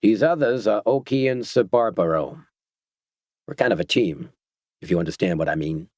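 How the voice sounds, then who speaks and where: A man's voice: fairly low pitch, moderate pace, a little mellow, no room sound, clean studio sound, lightly acted. A man reading a story, voicing a menacing biker gang leader who talks in a soothing, mock-polite, folksy way with ungrammatical speech.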